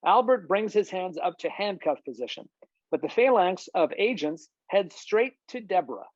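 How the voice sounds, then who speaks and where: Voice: medium pitch, animated, normal speed, a little mellow, dry recording. Muffled, dry narrator reading stage directions. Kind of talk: scripted narration at a table read. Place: online video-call table read. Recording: muffled, dull video-call audio.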